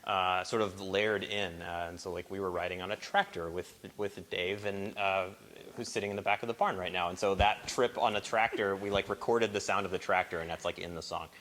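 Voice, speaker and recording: A man casually introducing songs at a live barn performance, halting and informal as he thinks aloud. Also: low-pitched, fairly even intonation, a bit bright. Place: barn, live before a small audience. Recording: live barn recording with noticeable hiss.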